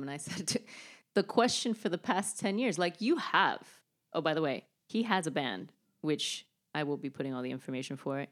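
A woman in her thirties with a raspy voice, hosting a casual chat at home and talking straight to her viewers in a giggly way. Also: low-pitched. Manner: giggly, warm, talks to viewers directly. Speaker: host. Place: home in Los Angeles, indoors.

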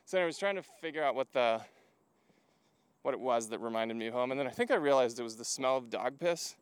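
A man's voice: mid-range voice, expressive, a little fast, smooth. A man from Hungary chatting casually as a podcast guest outdoors, telling things in a rambling way peppered with fillers. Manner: rambling, filler-heavy storytelling with frequent "like". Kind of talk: casual chat while walking. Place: outdoors, walking to a lookout.

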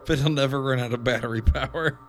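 A man's voice: medium pitch, fast talker, a little hiss or hum. A man playing a tabletop game, making dry, wry remarks in casual chat. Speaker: player.

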